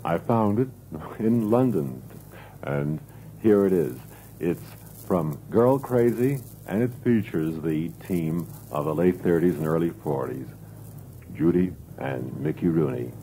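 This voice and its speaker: A low-voiced man presents a music show on an old radio recording with faint background noise. His tone is very dark and mellow. He phrases things in a measured, earnest way, like a devoted collector talking to listeners. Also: animated, low-quality recording. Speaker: radio music programme host.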